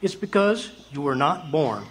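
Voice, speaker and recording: A man playing a gentle, very old but childlike angel in a live radio drama. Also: a little high, lively intonation, unhurried, slightly rough, full character voice. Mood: gently explaining. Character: gentle, childlike, nearly 300-year-old male angel, earnest and cheery. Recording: live stage recording, faint room noise.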